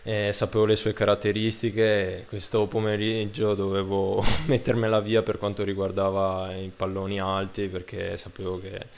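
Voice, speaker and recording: Young male footballer, low monotone, hesitant post-match answers, handheld mic. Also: moderate pace, hardly any pauses. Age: 20s. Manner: hesitant, many fillers and restarts. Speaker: football player. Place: indoor room under a ribbed ceiling. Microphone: handheld microphone held up by interviewer.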